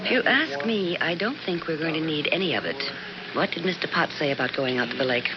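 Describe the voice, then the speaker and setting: An actress playing a skeptical, exasperated American wife in a 1970s radio drama, emphatic and sardonic. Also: female voice, low voice, lively intonation, fast. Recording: muffled, very noisy 1970s radio recording.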